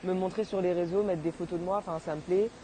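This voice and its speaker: A teenage girl answering interview questions casually, pausing often with fillers. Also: fairly low pitch, fairly even intonation, warm tone, a little hiss or hum, rough recording. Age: teens. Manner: hesitant, many fillers.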